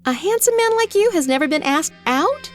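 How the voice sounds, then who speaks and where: A woman's voice: extremely high pitch, lively intonation, moderate pace, faint music behind. A woman playing a bold, teasing and confident female author in a fantasy audio drama. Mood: playful, confident.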